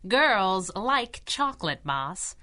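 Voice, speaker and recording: A woman's voice: mid-range voice, very animated, takes it slowly, bright, forceful tone, exaggerated voice. An actress playing a plucky young female driver and crime-fighting sidekick who talks in brash, slangy wisecracks, in a radio drama. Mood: spunky. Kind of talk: 1930s radio drama acting.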